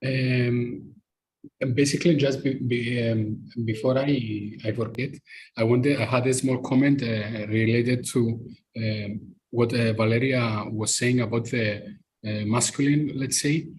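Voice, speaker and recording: Greek-accented man explaining haltingly. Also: mid-range voice, steady tone, darkish tone, a little hiss or hum, rough recording. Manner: halting, many uh fillers, explaining at length. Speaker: discussion participant. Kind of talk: live podcast group discussion.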